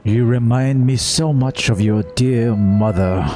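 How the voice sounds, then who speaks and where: A man's voice: mid-range voice, measured pace, warm tone, faint music behind. A man streaming a game with casual, playful live reaction commentary, chatting to his viewers. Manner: playful, chatty, talks to chat.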